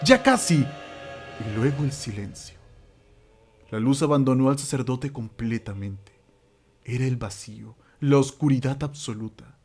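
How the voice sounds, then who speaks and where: A man narrating a horror radio drama from a script, theatrical and suspenseful, with dramatic pauses. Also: mid-range voice, animated, a little mellow, soft background music.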